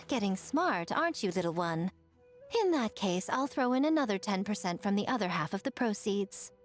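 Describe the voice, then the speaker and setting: A woman's voice: mid-range voice, very animated, soft background music, lightly acted. A woman voicing a sly, teasing, self-assured businesswoman who coaxes playfully in a video game. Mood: persuasive. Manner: coaxing, playful, drawn-out phrasing. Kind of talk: animated video game dialogue.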